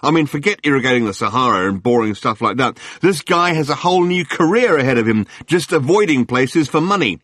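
A man voicing a gushing, fast-talking male tabloid journalist who babbles silly nicknames in a breathless torrent. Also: medium pitch, animated, fairly bright tone, dry recording, studio quality, pitched above the performer's own voice. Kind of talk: audiobook character voice.

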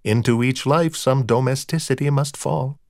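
A man's voice: mid-range voice, normal speed, vocal fry, a little mellow, dry recording, lightly acted. A suave man as an audiobook narrator plays him who draws out florid, courtly phrases. His gallantry is brittle and theatrical, with a mocking edge. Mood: wry. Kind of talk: audiobook character dialogue.

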